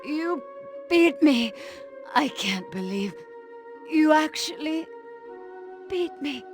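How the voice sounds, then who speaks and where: Video game villainess: tough, seductive agent, highish voice, unhurried and taunting, prominent music behind. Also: female voice, lively intonation. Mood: wounded defiance. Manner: taunting, theatrical. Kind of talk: video game cutscene acting.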